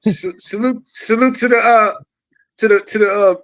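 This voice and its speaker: Male guest, high voice, remote caller, laid-back slang chat. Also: normal speed, low-quality recording. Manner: relaxed slangy talk, calls people cuz. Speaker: podcast guest. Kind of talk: casual chat. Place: calling in remotely.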